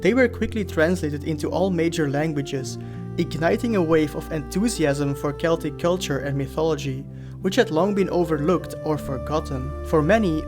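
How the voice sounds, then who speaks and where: A man hosting a channel, giving a scripted explainer narration in a measured, even storytelling manner. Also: a little high. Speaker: host. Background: faint background music.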